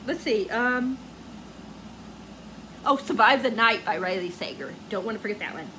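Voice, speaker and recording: Chatty lady doing a book vlog, kinda high voice, gushy and rambly, bit of background noise. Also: lively intonation, normal speed, pushed and bright, rough recording. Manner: rambling, gushing, often trails off searching for words. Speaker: book vlogger. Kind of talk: casual chatty vlog to viewers.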